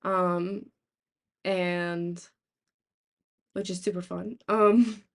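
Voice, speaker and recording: A young woman with a raspy, sore-throated voice, chatting casually to live stream viewers in a halting, rambling way. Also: lowish voice, fairly even intonation. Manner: halting, rambling, thinking aloud. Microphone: laptop, without usual microphone.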